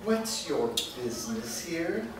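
A man's voice: fairly high pitch, big pitch swings, takes it slowly. A man playing a haughty vampire elder in a staged play reading, with a scornful manner. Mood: haughty, defiant. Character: haughty male vampire elder, servant of a master. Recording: echoey room, far mic, faint noise.

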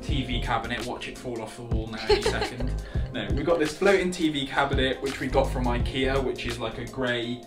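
A man's voice: medium pitch, animated, fairly quick, distant mic. A young man, chatting jokingly while showing viewers around his house. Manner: jokey, chatty, teasing. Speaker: vlogger giving a house tour. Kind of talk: casual house tour chat. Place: small carpeted house, indoors. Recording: echoey room, slightly off-mic, music under.

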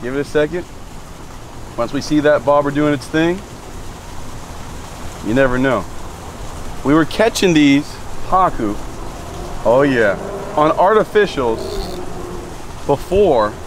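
A young man hosting a fishing channel, chatting casually to viewers outdoors and getting excited as he fishes. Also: a little high, slow pace, pauses often, slight background noise. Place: outdoors by a lake and a pool.

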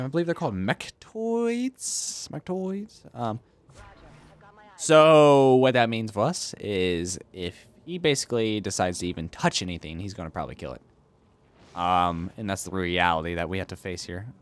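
A man's voice: fairly low pitch, expressive, normal speed. A young man commenting casually on a strategy game he is playing, joking around and arguing with himself. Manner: goofy, argues with himself in silly voices. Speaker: let's play host.

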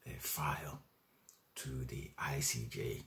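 A man in his forties explains a legal convention to a webcam in a small room at home, reading clauses aloud and adding measured comments with frequent fillers and restarts. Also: a bass voice, fairly even intonation, a bit bright, a little hiss or hum. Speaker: legal educator. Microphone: webcam at desk distance.

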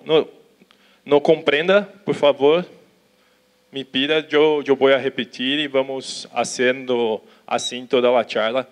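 A young man from Brazil with a Portuguese accent, giving a conference talk in halting Spanish on an auditorium stage, talking slowly with restarts. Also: mid-range voice, smooth. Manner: slow, careful, with restarts and Portuguese words. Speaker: conference speaker. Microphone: handheld mic.

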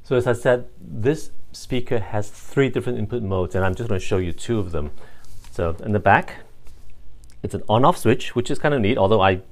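Low-voiced man demonstrating a product. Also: lively intonation, moderate pace. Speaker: product reviewer. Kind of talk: casual hands-on demonstration, unscripted.

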